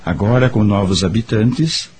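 A man's voice: lowish voice, normal speed, a little mellow, a little hiss or hum. A man with a Brazilian accent giving solemn scripted narration for a radio soap opera. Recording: background music under the voice.

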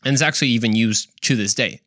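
A man with a medium-pitched voice hosts a podcast in a dry, close recording. He tells his story conversationally, as if chatting straight to the listener. His delivery is playful and joking, sprinkled with exclaimed asides. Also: fast, fairly bright tone, no room sound. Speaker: podcast host.

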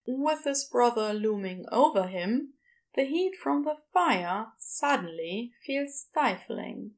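A woman's voice: a little high, animated, takes it slowly. A woman narrating scripted fiction as an audiobook storyteller.

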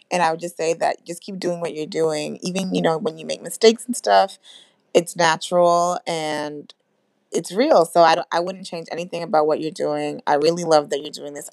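A woman in her twenties talks with a very low voice full of vocal fry. She sounds earnest and fluent. The recording is dry and close, with no room sound. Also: no echo. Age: 20s. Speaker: listener leaving a voice message. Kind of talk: casual spoken voice message.

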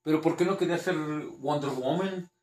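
A man's voice: on the high side, moderate pace, a little mellow, reverberant. A man with a Mexican accent hosting a casual interview, joking and a little nervous. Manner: joking, nervous, laughs often. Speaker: host.

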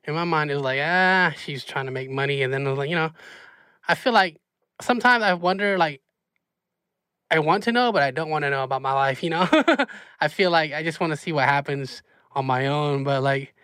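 A man's voice: fairly high pitch, moderate pace, sharp tone, clean tone, dry recording, studio quality. A man hosting a casual podcast chat, laid-back and laughing often, with lots of fillers. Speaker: podcast host.

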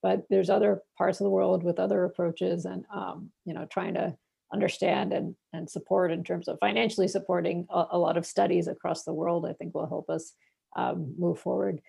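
Woman from bangladesh, husky dark voice, rambly answers with lots of um and like. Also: on the low side, level tone, normal speed. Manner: rambling, many fillers and restarts. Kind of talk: casual interview answers.

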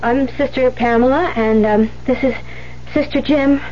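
High-voiced, earnest young nun. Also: female voice, fairly even intonation, measured pace, a little mellow. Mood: polite, nervous. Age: young adult. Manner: polite, hesitant, earnest. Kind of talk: 1970s radio drama acting. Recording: muffled, hissy 1970s radio recording.

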